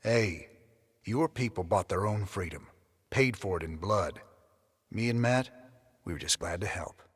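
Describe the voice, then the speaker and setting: An actor playing a weary, big-hearted middle-aged rebel commander in a video game, with an American Southern accent and a folksy, plainspoken drawl. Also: male voice, fairly low pitch, measured pace, husky. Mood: sympathetic. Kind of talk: video game cutscene acting. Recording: long reverb, music underneath.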